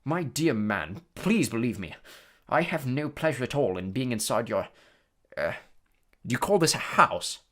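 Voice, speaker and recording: Man acting cold aristocrat villain, storybook, smooth, condescending, sneering, very varied pitch. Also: very animated, moderate pace. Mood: smug, lazy.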